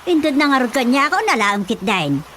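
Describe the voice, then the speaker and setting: High-voiced, teasing Ilocano woman. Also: sing-song, a little fast, fairly bright tone, a little hiss or hum. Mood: chatty. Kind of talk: Ilocano comedy radio drama acting.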